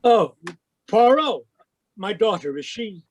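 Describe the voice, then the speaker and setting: A man's voice: sits high, big pitch swings, takes it slowly, darkish tone, dull audio, full character voice. A man voicing a nervous impostor in an old-time radio play, flustered and stammering with overly polite talk. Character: nervous male impostor posing as a businessman.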